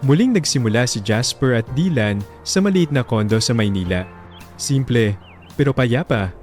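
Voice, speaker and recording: A man's voice: mid-range voice, lively intonation, normal speed, over music. A man with a Filipino accent hosting and narrating a scripted radio love story in a reflective, sentimental way. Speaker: radio drama host and narrator.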